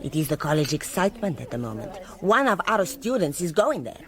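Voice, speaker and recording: A woman's voice: low voice, very animated, brisk. An actress playing a haughty, outspoken foreign woman teacher in a radio drama, dramatic and disdainful. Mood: sardonic.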